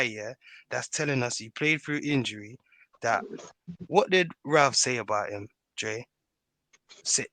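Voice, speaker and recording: A man's voice: mid-range voice, a bit bright, dry recording. A man debating football casually, slangy and argumentative, often checking that listeners follow him. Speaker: football podcast panellist.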